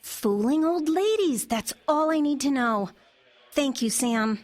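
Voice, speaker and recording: Woman playing a flustered, high-voiced amateur sleuth. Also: expressive, a little slow. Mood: curious, resolved. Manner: hesitant, flustered, earnest. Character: flustered, earnest, suspicious divorced mother and amateur sleuth. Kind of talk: audio drama acting, natural dialogue.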